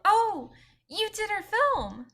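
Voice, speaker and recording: A woman's voice: very high-pitched, very animated, slow pace, a bit bright, pitched above the performer's own voice, exaggerated voice. Woman playing a gushing starstruck young female filmmaker. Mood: starstruck, eager. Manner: gushing, hesitant. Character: starstruck young female short-film director, gushing and modest. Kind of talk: staged play reading.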